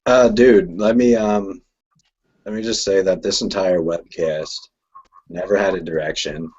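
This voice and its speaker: A man's voice: low voice, steady tone, unhurried, darkish tone, slightly rough, dull audio. A man with a New Jersey accent, rambling in a laid-back way while co-hosting a casual sports chat. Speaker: co-host.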